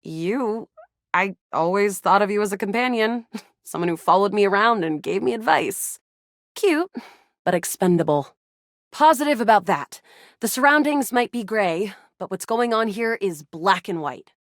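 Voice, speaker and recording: A woman's voice: medium pitch, expressive, moderate pace, no echo, clean studio sound, natural voice. A woman voicing a cocky young female space soldier in a video game, brash, dramatic and self-assured. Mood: cool, determined. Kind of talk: video game character dialogue.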